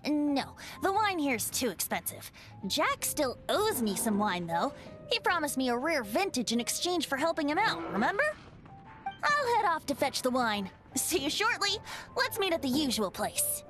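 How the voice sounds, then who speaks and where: A woman's voice: sits high, big pitch swings, measured pace, music in the background. A woman voicing a playful, boyish wind god bard in a video game, ancient but youthful, with a lilting, theatrical delivery. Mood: cheerful, teasing. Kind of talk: video game cutscene acting.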